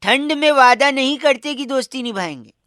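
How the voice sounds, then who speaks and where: A man's voice: very high-pitched, normal speed, a bit bright, no room sound. Teasing male prank-call host, close dry sound. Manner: teasing, insistent, mock-polite. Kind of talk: playful prank call with recited comic verse.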